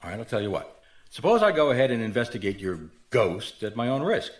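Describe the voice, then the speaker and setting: An actor playing a shrewd, skeptical private detective in a radio mystery, with a blunt, wry, questioning manner. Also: male voice, mid-range voice, very animated, fairly quick. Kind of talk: radio mystery drama acting.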